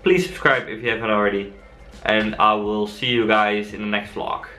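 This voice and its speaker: A young Dutch man with a Dutch accent, answering viewer questions in a relaxed, chatty vlog. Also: fairly low pitch, moderate pace, background noise. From Netherlands. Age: young adult. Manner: relaxed and chatty, frequent fillers. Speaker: cycle-touring vlogger. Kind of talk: casual vlog Q&A.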